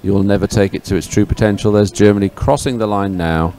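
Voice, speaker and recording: A man giving live sports commentary in a chatty, hesitant, partly ad-libbed way with frequent pauses. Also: fairly low pitch, steady tone, warm tone. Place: live broadcast at an outdoor regatta venue.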